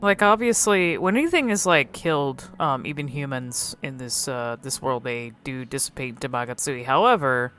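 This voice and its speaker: A woman giving casual, unscripted game commentary, thinking aloud haltingly and swearing freely. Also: rumbling low, a bit bright, slight background noise.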